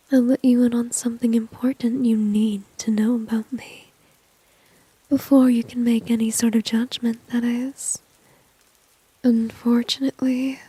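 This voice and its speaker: A woman's voice: on the high side, dark tone, slightly rough, no room sound, low-quality recording. A woman playing a flirty, dominant, confident villain in an ASMR roleplay, whispering breathily and teasingly close to the microphone. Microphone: close microphone, dry.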